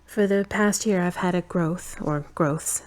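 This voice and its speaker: A woman's voice: fairly low pitch, measured pace, close to an everyday voice. A woman playing a tired, frank girlfriend who is fond but uneasy, in earnest, questioning audio drama dialogue. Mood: matter-of-fact, uneasy.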